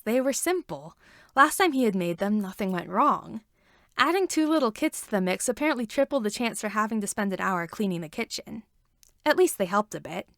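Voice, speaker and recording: A woman narrates a story in a fairly high voice with noticeable vocal fry. The sound is dry and close, and she reads expressively, dropping in wry asides. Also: fairly bright tone, dry recording. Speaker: podfic reader. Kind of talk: fan fiction narration, read aloud.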